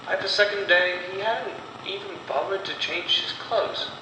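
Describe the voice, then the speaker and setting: A man reading a scripted horror story as storyteller, in a deliberate, dramatic way with pauses between short phrases. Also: high voice. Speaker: storyteller and narrator of horror stories. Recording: roomy echo, distant mic, clear background noise.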